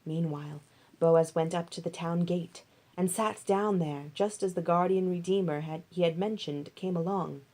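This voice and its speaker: A woman's voice: on the low side, moderate pace, mellow. Woman reading a Bible story aloud. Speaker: audiobook reader. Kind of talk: dramatized scripture storytelling.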